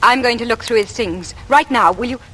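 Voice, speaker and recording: A woman's voice: fairly high pitch, brisk, sharp tone. An actress in a vintage radio drama playing a suspicious, probing Englishwoman with an intense, clipped manner. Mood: urgent suspicion. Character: earnest, suspicious, probing bespectacled young Englishwoman. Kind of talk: 1940s radio acting. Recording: noisy vintage radio recording.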